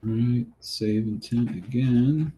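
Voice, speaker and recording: A man's voice: mid-range voice, slow pace, mellow, a little hiss or hum, poor audio. A man with a Southern US accent hosting a study group, walking through a coding lab while thinking aloud. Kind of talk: live coding walkthrough.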